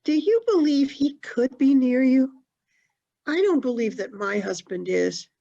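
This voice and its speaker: A woman's voice: sits high, lively intonation, unhurried, vocal fry, warm tone, lo-fi sound, heavily acted. A woman acting a mournful, doubting widow in a stage play, earnest and plaintive. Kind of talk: one-act stage play performed on a video call.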